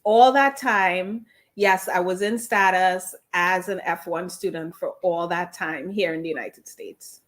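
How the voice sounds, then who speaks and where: A woman's voice: fairly low pitch, a little slow, few pauses. A woman with a slight Trinidadian accent, an immigration attorney speaking candidly in an interview, often restarting her phrases over a video call. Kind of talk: conversational interview answers.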